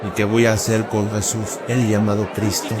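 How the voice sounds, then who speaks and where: A guy doing a stern roman governor, lowish voice, loud crowd and street racket all around. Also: level tone, normal speed, heavy background noise, lightly acted. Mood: questioning. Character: stern Roman governor, middle-aged man.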